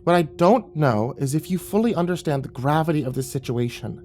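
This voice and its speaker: A man's voice: fairly high pitch, expressive, fairly quick, soft background music, voice pushed up, close to an everyday voice. A man voicing an angry mother who snaps and scolds her son. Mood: stern. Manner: sharp, scolding. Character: angry mother, snapping and scornful.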